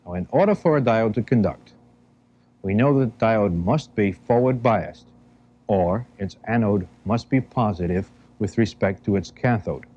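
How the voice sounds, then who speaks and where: An American man narrating an instructional training film, explaining step by step in measured, deliberate phrases. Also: lowish voice, dark tone. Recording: dull, band-limited 1970 film soundtrack.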